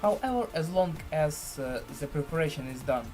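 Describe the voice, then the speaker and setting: A man presenting a screen-share software tutorial in halting, deliberate phrases with formal, elaborate wording. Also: a little high, animated, soft background music.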